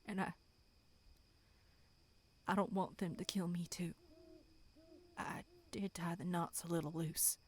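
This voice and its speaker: Woman acting out a low, slow, guilt-ridden village man. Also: fairly even intonation, a little mellow, breathy edge. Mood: guilty, apologetic. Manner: hesitant, apologetic. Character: reluctant guilty village man, anxious husband and father. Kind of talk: dramatic horror roleplay acting.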